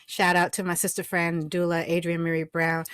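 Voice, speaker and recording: A woman's voice: fairly low pitch, completely flat delivery, normal speed, fairly bright tone, clean tone, no echo. A woman moderating an online Q and A, chatty and loose as she reads audience questions. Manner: chatty with many fillers and restarts. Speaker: event moderator.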